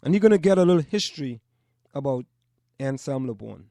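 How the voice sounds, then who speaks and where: A man from Trinidad and Tobago, hosting a call-in radio show and speaking in measured, short broken phrases. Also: medium pitch, expressive, creaky, warm tone, dry recording, clean studio sound. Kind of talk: call-in radio talk monologue.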